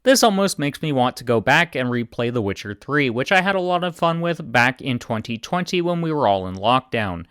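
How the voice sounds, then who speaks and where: A man giving scripted video game commentary in a deadpan voice. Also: medium pitch, fairly quick, hardly any pauses, clear, resonant tone, dry recording, studio quality.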